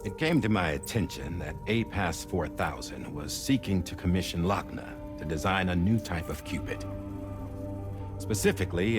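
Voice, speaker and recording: An actor playing a grave, resolute middle-aged director who delivers measured, theatrical oratory in a game cutscene. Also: male voice, low voice, close to an everyday voice. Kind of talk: dramatic game cutscene monologue.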